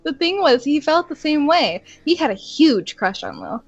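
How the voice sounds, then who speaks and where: A woman's voice: high voice, sing-song, moderate pace, no room sound, faint music behind. Giggly woman co-reader, dry dull mic. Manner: giggly, hesitant. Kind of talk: casual reading and chat.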